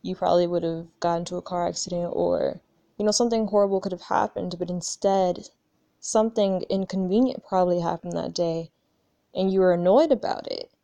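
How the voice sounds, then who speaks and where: A woman's voice: on the low side, fairly even intonation, fairly quick, creaky, mellow, no room sound. A woman of twenty-one talking in a candid, rambling way about her spiritual journey, swearing casually and often restarting her sentences. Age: 20s. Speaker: spiritual vlogger. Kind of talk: casual reflective monologue.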